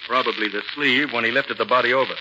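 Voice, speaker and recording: A man's voice: mid-range voice, quick pace, natural voice. A man playing a matter-of-fact, affable and observant police inspector in a 1940s radio drama. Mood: businesslike. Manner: plain-spoken, businesslike, affable. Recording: muffled, noisy old radio broadcast.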